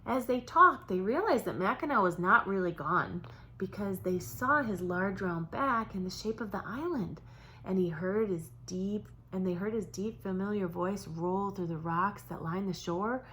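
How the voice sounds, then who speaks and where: A woman reads a children's story as a storyteller for young kids, in a dramatic manner with drawn-out words and hushed moments. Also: medium pitch, slight background noise. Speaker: storybook reader for preschoolers. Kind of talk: expressive children's story narration.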